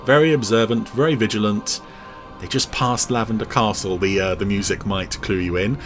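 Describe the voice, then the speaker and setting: A man's voice: on the low side, normal speed, music in the background. A man giving rambling, enthusiastic podcast commentary over cartoon clips. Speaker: podcast reviewer.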